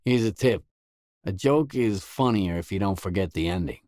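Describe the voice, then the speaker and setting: An actor playing a weary, laconic stalker in a video game, a hardened loner with a terse, guarded, deadpan delivery. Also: male voice, low voice, normal speed, no room sound, studio quality. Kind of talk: isolated game dialogue lines.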